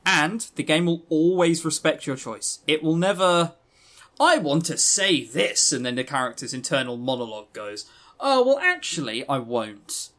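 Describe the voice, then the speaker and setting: A man's voice: a little high, fairly bright tone. Man in casual, sweary podcast chat. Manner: casually sweary, wry, self-deprecating. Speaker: podcast host reviewing visual novels. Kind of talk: casual rambling review talk.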